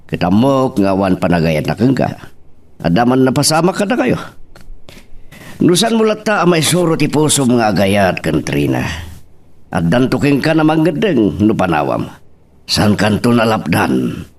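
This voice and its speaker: A man's voice: on the low side, huge pitch swings, moderate pace. A man playing a grave, emotional older father giving counsel in an audio love drama, with emphatic, dramatic delivery. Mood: earnest, emotional. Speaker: voice actor in a drama.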